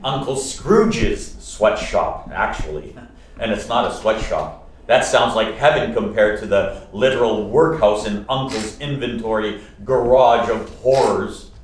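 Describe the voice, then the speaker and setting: An actor playing an earnest, joking young husband and nephew in a radio play, with an expressive bantering manner. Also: male voice, fairly low pitch, a little slow, close to an everyday voice. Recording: echoey room, distant microphone.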